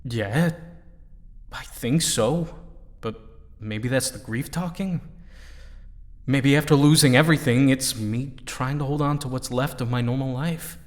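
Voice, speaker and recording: An actor playing a grieving young superhero in an audio drama, earnest and halting in his delivery. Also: medium pitch, dry recording, lightly acted. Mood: confiding. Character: conflicted grieving young male superhero team leader.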